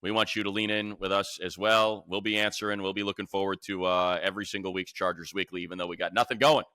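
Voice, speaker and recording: A deep-voiced American man gives opinionated sports commentary. His voice is bright and forceful, recorded dry and close. He talks casually but emphatically, hammering a point by repeating it. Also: steady tone, fast, clear, resonant tone, no echo. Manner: emphatic, repeats phrases for effect. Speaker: sports podcast co-host and commentator.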